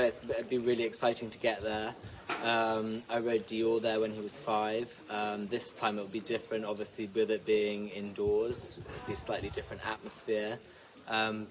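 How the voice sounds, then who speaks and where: A young man, a dressage rider, answering interview questions outdoors in an easygoing way with many ums and quick yeahs. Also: on the low side, recorded from a distance, noisy background. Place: outdoors in front of a hedge.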